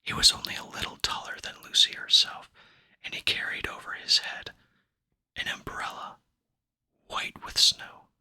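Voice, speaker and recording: A man whispering an ASMR reading of a children's fantasy novel, gentle and unhurried, with pauses between phrases, close to the microphone. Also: dry recording. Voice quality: breathy unvoiced whisper. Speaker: ASMR book reader.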